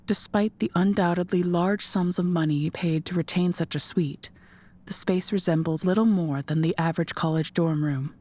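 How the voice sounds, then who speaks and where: A woman's voice: on the low side, steady tone, moderate pace, warm tone. A woman reading scripted narration for an audio drama as its narrator.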